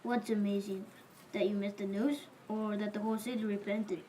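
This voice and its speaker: A woman's voice: mid-range voice, flat delivery, normal speed, warm tone, a little hiss or hum, lightly acted, poor audio. A woman playing a helpful, courteous male merchant in an amateur biblical audio drama. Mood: earnest, informative.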